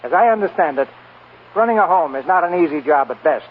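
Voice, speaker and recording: A man presents radio theater in a measured, formal 1940s style. His voice is fairly high, on a narrow, tinny recording with light noise. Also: expressive. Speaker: radio theater host and narrator. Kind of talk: 1940s radio hosting, scripted narration.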